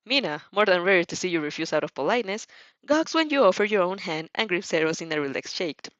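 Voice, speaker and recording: A woman narrates a story with a lively, swinging pitch. The recording is dry and close, with a slightly dull, lo-fi sound. She reads expressively, moving between narration and character dialogue. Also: fast, no room sound. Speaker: fan fiction audio reader.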